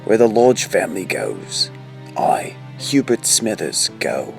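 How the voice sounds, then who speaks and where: A man's voice: fairly low pitch, big pitch swings, takes it slowly, vocal fry, exaggerated voice. An actor playing a theatrical, uneasy male follower in an audio drama. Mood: solemn. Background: music behind the voice.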